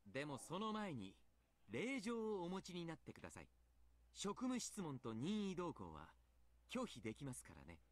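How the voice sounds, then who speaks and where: An actor playing a mild-mannered, protective young doctor in a visual novel, speaking politely but firmly. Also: male voice, a little high, huge pitch swings, fast talker, no echo. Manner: polite, firm. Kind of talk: Japanese visual novel voice acting.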